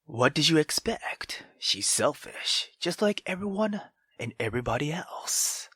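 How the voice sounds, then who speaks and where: A man's voice: on the high side, very animated, a little slow, sharp tone, slightly rough, dry recording, clean studio sound, exaggerated voice. A man voicing a sinister, possessive mother in a visual novel, coaxing her child with cold, whispered menace.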